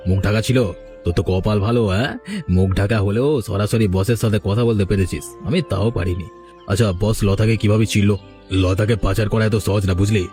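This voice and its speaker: A man's voice: fairly low pitch, steady tone, normal speed, dark tone, lightly acted. A man playing a bossy, scheming criminal in a cartoon story, talking in a familiar, commanding way. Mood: conspiratorial. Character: scheming male village trafficker and his masked boss. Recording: music behind the voice. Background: background music.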